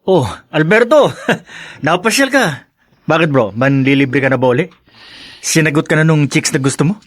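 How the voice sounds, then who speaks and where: A teasing, blunt young buddy with a fairly high male voice. He jokes around and speaks his mind, recorded close and dry. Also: animated, moderate pace, no echo. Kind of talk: radio drama acting.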